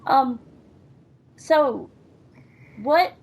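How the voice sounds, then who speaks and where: Chatty Southern woman with a gravelly edge. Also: sits high, extremely slow, darkish tone. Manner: chatty, joking. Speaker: podcast host. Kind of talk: casual podcast interview.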